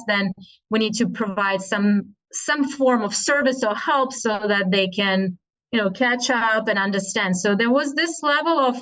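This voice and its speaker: A woman's voice: a little high, low-quality recording. Russian-accented woman, thoughtful guest. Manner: thoughtful, with frequent restarts and soft laughs. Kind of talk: casual spiritual conversation.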